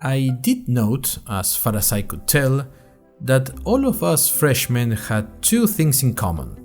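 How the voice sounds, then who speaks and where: A man's voice: mid-range voice, sing-song, takes it slowly, darkish tone, soft background music. Man playing an anxious, wry freshman podcaster. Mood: wry, musing. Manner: rambling, wry asides, self-conscious. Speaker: narrator and host of a fiction podcast. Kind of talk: scripted first-person audio drama monologue.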